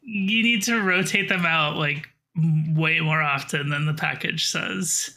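A woman's voice: deep voice, fairly even intonation, a little slow, bright, forceful tone. A woman co-hosting a podcast in casual chat, with a joking, dry-humored way of talking. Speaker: podcast co-host.

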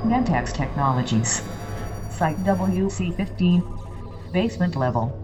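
A woman's voice: lowish voice, lively intonation, measured pace. A woman voicing an impersonal facility system, reading out clipped phrases in a sci-fi audio drama. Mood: flat readout. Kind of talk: scripted location readout in sci-fi audio drama. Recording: distant voice under music.

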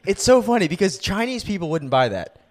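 Young man joking on a podcast, lively pitch. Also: brisk. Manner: joking, laughs a lot, slips into mock voices. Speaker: podcast co-host. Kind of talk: casual chat.

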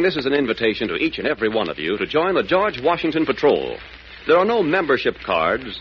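A man announcing a scripted 1940s radio public appeal in a solemn, declamatory manner. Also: mid-range voice. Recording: muffled old radio recording, faint noise.

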